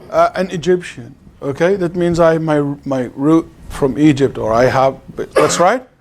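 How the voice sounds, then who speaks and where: A man with an Arabic accent teaches an interactive religious lesson as an Islamic lecturer, explaining didactically and checking understanding with questions. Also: on the high side. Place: live class at an Islamic center. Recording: clearly noisy live room recording.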